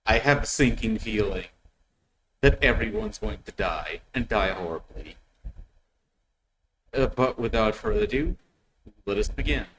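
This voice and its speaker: A man hosting a reaction video with casual commentary, speaking in a hesitant, halting way and often repeating words. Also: lowish voice, sing-song, gravelly, background noise.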